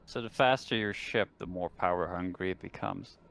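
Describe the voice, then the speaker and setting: A man playing a tabletop game, talking casually in a halting, musing way, with faint noise on the recording. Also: low voice, gravelly, a bit bright.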